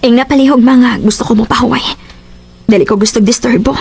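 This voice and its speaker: High-pitched, emotional young Cebuano woman. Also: quick pace, vocal fry, slightly rough, heavily acted. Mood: hurt, accusing. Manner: emotional, expressive. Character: Sylvia, hurt, lovesick young woman in love with her best friend. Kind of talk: Cebuano radio drama acting.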